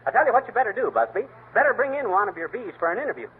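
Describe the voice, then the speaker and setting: A man's voice: high-pitched, very animated, very fast, husky, heavily acted. A man voicing a brash, folksy know-it-all husband in an old radio comedy. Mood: blustering. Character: blustering middle-aged husband, know-it-all. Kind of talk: 1930s radio comedy acting. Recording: tinny old radio recording with faint hiss.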